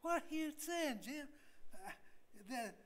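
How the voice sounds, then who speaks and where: An older man playing an anxious, easily alarmed hospital patient in a comic stage reading, with halting, stammering delivery. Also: very high voice, expressive, a bit bright, exaggerated voice. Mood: alarmed, flustered.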